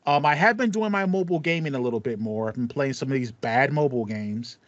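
A man's voice: mid-range voice, fast talker, no echo. An American man in his thirties chatting casually about games as a podcast co-host. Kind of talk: casual gaming chat. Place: small home room with acoustic foam. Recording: slightly dull, band-limited, dry sound.

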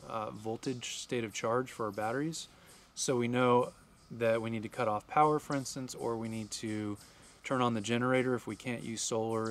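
A man in his forties gives practical camping tips to the camera in a steady, explaining way, speaking outdoors at a wooded campsite. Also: mid-range voice, a little hiss or hum. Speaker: RV travel vlogger. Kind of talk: instructional vlog to camera.

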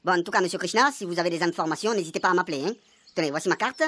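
Grumpy sarcastic police chief in a funny radio soap. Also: male voice, high-pitched, rapid-fire, sharp tone. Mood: businesslike. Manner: irritable, sarcastic, hesitant when cornered. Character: exasperated, sarcastic male police commander. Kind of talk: comic radio soap acting.

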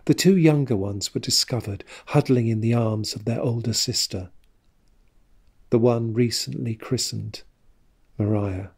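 Audio drama narrator, male, slightly husky, measured and serious, scripted literary storytelling. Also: mid-range voice, darkish tone.

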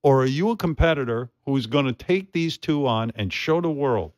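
A man's voice: mid-range voice, lively intonation, moderate pace, no room sound. A man, hosting a radio show and teasing and provoking his staff. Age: 60s. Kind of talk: studio radio banter. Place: radio studio. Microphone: studio mic with headphones.

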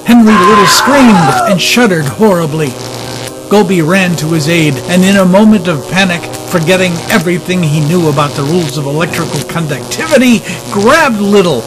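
High-pitched male story narrator over music. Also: lively intonation, normal speed, low-quality recording. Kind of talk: scripted audiobook narration.

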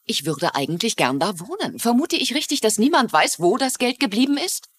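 An actress playing a sharp-tongued, cheated home buyer in a TV crime drama, sardonic and challenging. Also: female voice, fairly high pitch, sing-song, a little fast, slightly rough, no room sound. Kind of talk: German-dubbed television crime drama.

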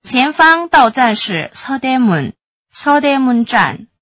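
Woman station announcer, sing-song pitch, real slow, lofi dry sound. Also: big pitch swings, a bit bright, dry recording. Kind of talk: scripted Chinese transit announcement. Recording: dull, lo-fi announcement audio.

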